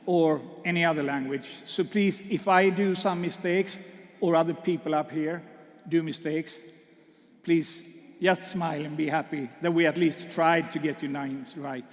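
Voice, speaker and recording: A man with a high voice hosts an awards ceremony from a stage. He talks slowly and jokes, stumbling over fillers. English is not his first language, and his voice rings with the long reverb of a big hall. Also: fairly even intonation. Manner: joking, self-deprecating, halting fillers. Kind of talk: speech to an audience. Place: large hall.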